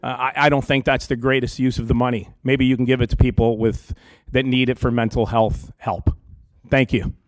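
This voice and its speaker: A man giving an earnest public comment at a meeting, pausing often on ums and uhs. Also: medium pitch, little pitch movement, no room sound. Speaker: public deputant at a police board meeting.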